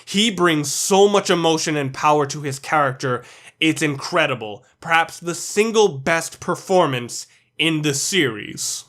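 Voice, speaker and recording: A man's voice: a little high, animated, a little slow, a bit bright. A man delivering scripted, opinionated video commentary as a countdown reviewer, blunt and sprinkled with swearing.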